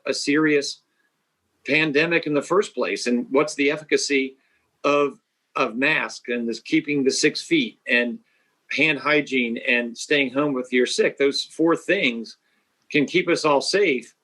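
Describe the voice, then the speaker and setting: Bright-voiced man health official. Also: mid-range voice. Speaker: state public health official. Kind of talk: expert panel discussion.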